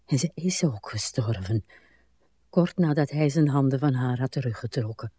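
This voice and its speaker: An actress playing a weary, wistful old woman in a literary radio drama, speaking haltingly and pensively, with sighs. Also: very deep voice, mellow, slightly rough, no room sound, studio quality. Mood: brooding. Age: older. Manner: slow, halting, pensive delivery with drawn-out sighs. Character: weary, wistful, brooding old Irish countrywoman, a wife.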